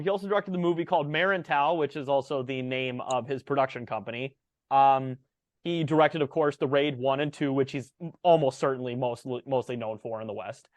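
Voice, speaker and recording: A man in his thirties co-hosting a casual movie review podcast, relaxed and full of fillers as he reads out film facts. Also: a little high, no echo. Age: 30s. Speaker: podcast co-host.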